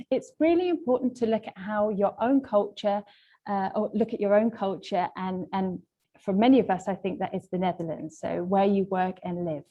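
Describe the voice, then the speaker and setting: A woman leads an online language lesson as a trainer. Her voice is mellow and relaxed, at a middling pitch for a woman. She explains things politely and in a measured way, like a prepared presentation. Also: highs cut off. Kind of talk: webinar lesson, semi-scripted presentation.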